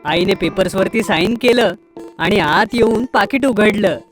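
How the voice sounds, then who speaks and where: A woman's voice: medium pitch, unhurried. A woman reading a scripted children's story as its narrator. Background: music.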